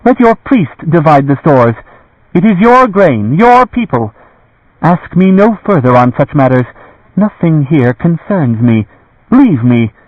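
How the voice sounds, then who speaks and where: Man voicing a stern warrior-lady, cold and clipped, raised pitch. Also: very animated, a little slow, no echo. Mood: commanding. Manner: still, clear, imperious. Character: cold commanding tall woman warrior-lady. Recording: dull lo-fi audio.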